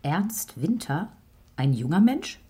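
An actress playing a curious, fretful middle-aged butcher's wife in a radio drama. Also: female voice, fairly low pitch, big pitch swings, slow pace, dark tone. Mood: curious insistent. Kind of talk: German radio drama acting.

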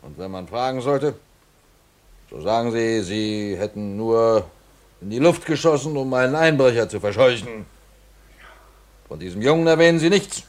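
Actor as a shrewd, composed country doctor. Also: male voice, medium pitch, lively intonation, lightly acted. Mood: stern, persuasive. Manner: measured, persuasive, formal. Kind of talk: 1950s German radio play acting.

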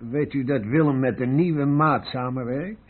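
An actor playing an inquisitive, deferential police sergeant with a respectful, slightly hesitant manner in a Dutch radio play. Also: male voice, medium pitch, normal speed, close to an everyday voice. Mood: helpful. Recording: tinny, narrow-band old radio recording.

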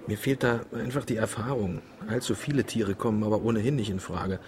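An actor playing a good-natured, conflict-shy tour boat skipper with a wry streak in a German radio drama. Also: male voice, fairly low pitch, fairly even intonation, brisk, a little mellow, lightly acted. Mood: subdued, matter-of-fact.

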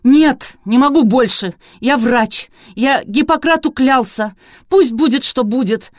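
A terrified middle-aged man of medicine, acted out by a woman narrator in an audiobook. He is broken and pleading, speaking in tearful, halting phrases, with a close, dry sound. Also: medium pitch, warm tone, dry recording, lightly acted. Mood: desperate outburst.

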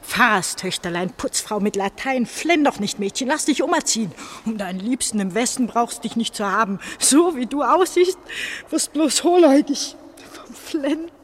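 A woman's voice: a little high, animated, normal speed, close to an everyday voice. An actress as a fragile, frightened young woman, faint noise. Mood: bitter, mocking. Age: young adult. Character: exhausted, frightened young woman fleeing to the West. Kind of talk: German radio drama acting.

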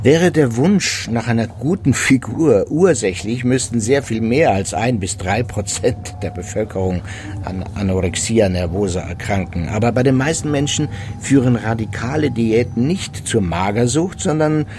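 An actor playing a middle-aged psychiatrist in a German radio drama, explaining in a measured, clinical, matter-of-fact way. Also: male voice, medium pitch, expressive, a little mellow, a little hiss or hum, natural voice. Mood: explanatory, composed.

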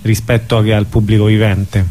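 A man interviewing casually on radio, halting as he searches for words. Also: fairly low pitch, flat delivery, mellow.

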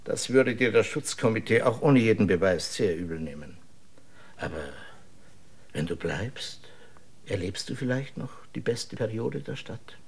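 A man playing a grave, cautious dissident scientist in a German radio drama, husky and measured. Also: on the low side, animated, full character voice. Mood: earnest, cautioning. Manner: measured, deliberate, serious.

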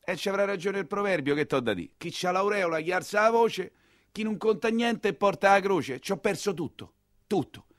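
Casting: Roman coachman, 19th century, humble and emotional, very lively intonation, earthy dialect, theatrical solo storytelling. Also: high voice, normal speed, no room sound, studio quality. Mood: rueful.

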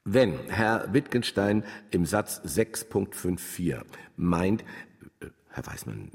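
A dignified, touchy professor in a radio play. His voice is very low and husky, formal and measured, carrying in a long reverberant hall. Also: very animated, a little mellow, exaggerated voice. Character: dignified, touchy Viennese philosophy professor chairing a discussion. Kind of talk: German radio drama acting.

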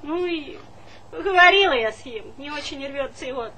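A woman's voice: sits high, animated, normal speed, bright, forceful tone. An actress playing a middle-aged village mother, brash, plaintive and exclamatory, in theatrical comedy style. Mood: lamenting. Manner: folksy, exclamatory, wheedling. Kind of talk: theatrical comedy stage acting. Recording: noisy 1970s stage play recording.

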